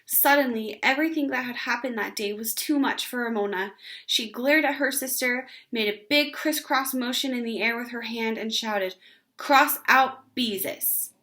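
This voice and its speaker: A woman reading a children's book aloud as an expressive storyteller. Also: a little high, moderate pace.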